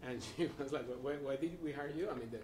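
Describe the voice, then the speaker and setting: A man whose first language is Spanish, telling animated, laughing anecdotes on a discussion panel. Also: medium pitch, fast, distant mic, a little hiss or hum. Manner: animated, laughing, acts out quotes. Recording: roomy echo.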